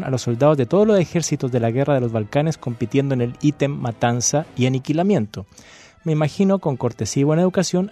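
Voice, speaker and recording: A man's voice: mid-range voice, dark tone. A Chilean man on a university radio show, reading passionately with a repeating cadence, then chatting about a novel. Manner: impassioned, repetitive cadence when reading. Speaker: radio guest discussing a novel. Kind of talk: dramatic reading then casual talk.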